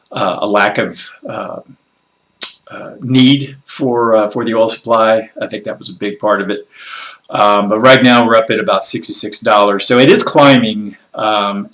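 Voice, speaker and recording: A man's voice: fairly low pitch, a bit bright. Congested, rambling man. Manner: rambling, with frequent fillers and blunt asides. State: slightly congested and froggy after a workout. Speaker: financial advisor hosting a weekly market recap. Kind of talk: casual solo commentary on news and markets.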